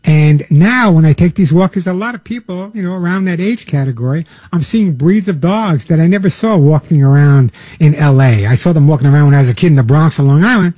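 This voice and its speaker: Older male radio host, mellow dark tone, slightly husky, dry close sound, chatty. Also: fairly high pitch, fairly quick, few pauses, no room sound. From New York. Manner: chatty, repeats words, earnest pleading. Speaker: radio pet show host. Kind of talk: casual talk radio monologue.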